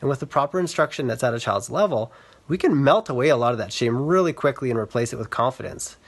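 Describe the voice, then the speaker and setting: A man's voice: mid-range voice, animated, fast. Man explaining as an educator. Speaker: reading specialist and educator. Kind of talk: explanatory talk to camera for social media.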